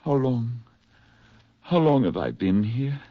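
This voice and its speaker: A man's voice: mid-range voice, sing-song, slow pace, no room sound. A man acting a hunted, weakened fugitive in earnest 1940s radio drama style. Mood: weak, tender. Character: hunted, fevered, weakened man, tender and fearful for his lover. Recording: muffled vintage 1940s radio recording with music.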